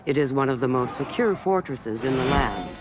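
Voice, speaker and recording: An actor playing a calculating warlord who narrates a video game war in a measured, ominous way. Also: male voice, medium pitch, expressive, close to an everyday voice. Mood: ominous, savouring. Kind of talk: dramatic scripted game narration.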